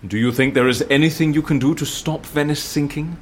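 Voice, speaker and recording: An actor playing a wry, sardonic agent who questions a client, in an audio drama. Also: male voice, a little high, fairly even intonation, brisk, a little hiss or hum, close to an everyday voice. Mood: curious. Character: wry agent serving a death-fearing Venetian client.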